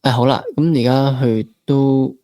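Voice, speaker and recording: A young man with a Hong Kong Cantonese accent talks at a medium pitch in a dry recording. He interviews conversationally, musing in a reflective way with some English mixed in. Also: steady tone, measured pace, warm tone, dry recording. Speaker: interviewer, fellow actor.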